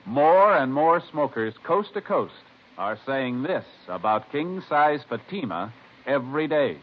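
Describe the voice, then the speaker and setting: A man's voice: medium pitch, sing-song, unhurried. A man reading a 1950s radio advertisement as a commercial announcer. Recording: muffled vintage radio recording.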